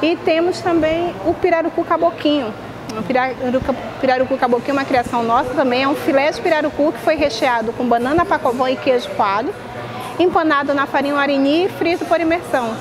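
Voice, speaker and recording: A woman's voice: high voice, fairly quick, a crowd in the background, poor audio. A middle-aged woman chef, explaining regional dishes in an interview in a restaurant dining room. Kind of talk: on-camera interview.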